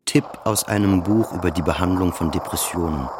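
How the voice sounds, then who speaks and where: A very low male voice of an actor narrates as an anguished, self-mocking psychiatric patient in a radio play. He speaks plainly and confessionally in short halting phrases, with prominent music underneath. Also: close to an everyday voice. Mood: introspective. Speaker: narrator and main character. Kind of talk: introspective first-person radio play narration.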